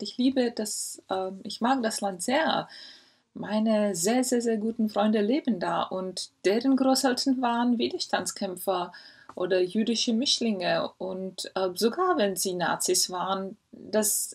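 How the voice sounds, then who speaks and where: A woman's voice: medium pitch, husky. A woman in her forties from the Republic, a historian speaking German as an in a reflective, earnest personal statement with frequent hesitations, recorded on a laptop webcam in a home study. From Czech Republic. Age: 40s. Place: home study lined with bookshelves. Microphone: laptop webcam mic.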